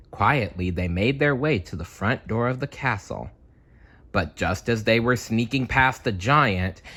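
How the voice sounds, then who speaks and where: A man narrates a children's read-aloud with a very animated, bright voice. His delivery is playful and dramatic, unhurried, with a husky edge. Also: fairly low pitch. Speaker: storyteller.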